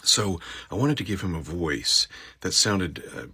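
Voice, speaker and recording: A man who narrates audiobooks, talking casually as he explains his voice acting work, with a gravelly, husky voice. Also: sits low, animated, a little slow, sharp tone, slight background noise.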